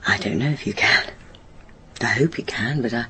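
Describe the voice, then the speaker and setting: A woman's voice: rumbling low, moderate pace, sharp tone. British woman, troubled daughter, hesitant, self-correcting, radio play, faint background noise. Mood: uncertain. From Britain. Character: troubled, hesitant daughter of a railway signalman in therapy. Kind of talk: naturalistic radio drama dialogue.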